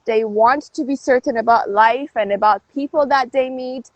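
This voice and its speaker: A high-voiced woman who grew up in Canada speaks as a guest on a phone livestream. She is upbeat and motivational and swings into emphatic lifts. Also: normal speed, no room sound, highs cut off. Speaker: guest, DJ and life coach. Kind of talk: livestream interview.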